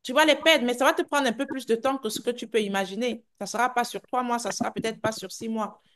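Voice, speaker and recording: Woman coach with a slightly high voice. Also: fairly even intonation, quick pace. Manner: repeats words, restarts sentences, reassuring. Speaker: health and weight-loss coach. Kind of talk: live group coaching call.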